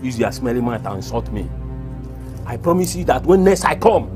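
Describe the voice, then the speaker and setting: A man's voice: medium pitch, very animated, brisk, darkish tone, faint music behind, natural voice. A man acting a stern, self-important landlord in a comedy drama, scolding in formal English with a Nigerian accent. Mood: indignant, threatening. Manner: scolding, pompous.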